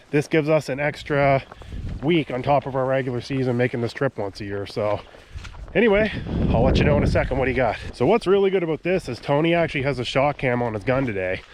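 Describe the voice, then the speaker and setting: A man, nasal and stuffed up from a cold, talks casually to a handheld camera out on an open tidal marsh. His voice sits at an ordinary pitch, with a faint hiss of background noise behind him. He keeps trailing off with "so" and "um" as he narrates. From Nova Scotia, Canada. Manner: chatty, trailing off with "so" and "um". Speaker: hunting vlogger, channel host. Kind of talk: casual outdoor vlog narration.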